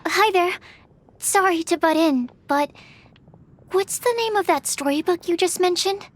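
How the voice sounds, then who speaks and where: A woman's voice: very high-pitched, a little slow. A woman playing an earnest, bookish young female scientist in a video game, speaking politely and precisely. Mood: politely curious. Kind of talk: video game character dialogue.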